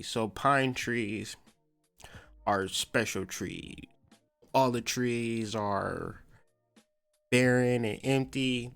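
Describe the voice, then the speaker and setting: A man's voice: fairly low pitch, vocal fry, a bit bright. A man hosting a podcast, mixing casual slangy commentary with reading aloud and repeating phrases for emphasis. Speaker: podcast host.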